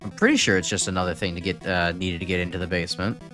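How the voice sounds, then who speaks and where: A man's voice: low voice, level tone, quick pace, music in the background. A young man from Chicago with a Chicago accent, rambling and correcting himself while chatting on a game stream. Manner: rambling, self-correcting, chuckling. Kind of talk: casual game stream chat.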